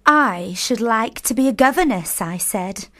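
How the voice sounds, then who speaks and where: A dreamy, playful young sister in a period radio play. Her voice is fairly high and swoops expressively. She takes her time, savouring each theatrical phrase. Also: expressive, measured pace, fairly bright tone. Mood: reading aloud. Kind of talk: period radio drama acting.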